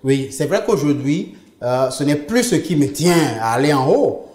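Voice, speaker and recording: A man's voice: on the high side, lively intonation, moderate pace, darkish tone. Earnest man in a roomy room. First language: English. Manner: earnest, emphatic storytelling. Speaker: interview guest. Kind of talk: personal testimony in conversation. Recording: roomy echo.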